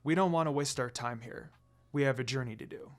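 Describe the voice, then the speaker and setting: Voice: mid-range voice, a little fast, close to an everyday voice. A narrator voicing a cool, aloof, commanding male dog demon lord with a murmuring, haughty way of talking.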